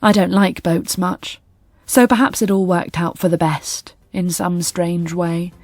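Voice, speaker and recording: A woman's voice: lowish voice, fairly even intonation, a little slow, a little mellow, dry recording. An actress playing a dry, sardonic, composed young woman killer narrating her story in an intimate radio drama. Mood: smug, wry.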